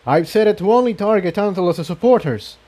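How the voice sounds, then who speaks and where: A man's voice: high voice, animated, fairly quick, warm tone, voice pushed up. A man voicing a determined male pony commander in an adventure story, with an intense, commanding manner. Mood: urgent, commanding.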